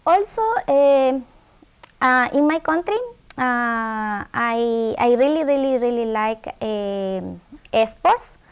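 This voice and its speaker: A young woman with an Ecuadorian Spanish accent speaks English slowly and haltingly, often repeating words as she restarts her sentences. Her voice is higher than most and sounds smooth and clear. She is answering interview questions about her studies. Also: expressive. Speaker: graduate scholarship student.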